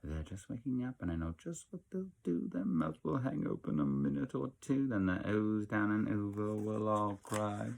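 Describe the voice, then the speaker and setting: A man's voice: lowish voice, normal speed, creaky, hardly any pauses, darkish tone. A man reading aloud as a scheming, grumpy storybook creature who steals holidays. He speaks slickly, dripping with false sweetness and sly glee. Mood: gloating. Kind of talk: storybook character voice.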